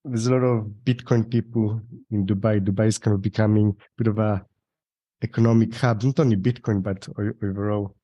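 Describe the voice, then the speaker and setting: A man hosting a podcast, asking casual interview questions in a relaxed, conversational way with some hesitant restarts. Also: lowish voice, a little fast, highs cut off. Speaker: podcast host.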